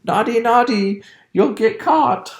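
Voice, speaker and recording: A woman's voice: fairly high pitch, takes it slowly. A woman voicing a mischievous male poltergeist who taunts in a cackling, sing-song way. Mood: gleeful taunting. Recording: roomy echo.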